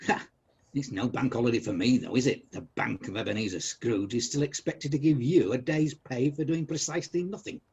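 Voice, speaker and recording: An actor playing a miserly, bad-tempered old businessman in a comic radio drama, husky, sarcastic and blustering. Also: medium pitch, fairly quick, darkish tone. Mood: irritable nagging.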